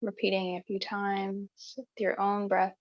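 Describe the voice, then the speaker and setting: Woman, yoga instructor, very slow pace, soothing, long pauses, guided relaxation, slightly muffled lo-fi recording. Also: mid-range voice, completely flat delivery.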